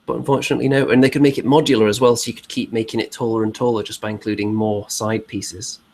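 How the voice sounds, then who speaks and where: A man's voice: fairly low pitch, flat delivery, quick pace, vocal fry, a little mellow, slight background noise. A man co-hosting a hobby show in casual conversational chat.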